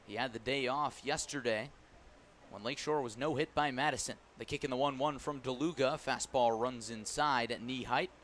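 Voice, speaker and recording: A man's voice: mid-range voice, moderate pace, a bit bright, slight background noise. American man calling baseball on the radio. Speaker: baseball play-by-play announcer. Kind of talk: live radio sports commentary.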